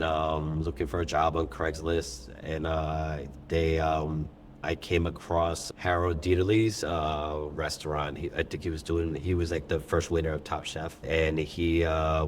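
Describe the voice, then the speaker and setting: A man's voice: very deep voice, flat delivery, moderate pace, slight background noise. A middle-aged man, a chef being interviewed, telling his story in a rambling way with many fillers and restarts. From born in Thailand, raised in New York. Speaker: chef and restaurant owner, guest.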